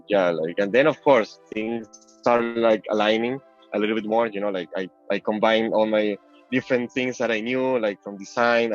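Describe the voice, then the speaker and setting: A man from Venezuela with a Venezuelan Spanish accent, chatting casually and rambling as an interview guest, peppering his talk with filler phrases. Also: on the low side. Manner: rambling, heavy use of "like" and "you know". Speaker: interview guest, crypto app designer. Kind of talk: casual chat. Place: online call. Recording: dull lo-fi call audio, music behind.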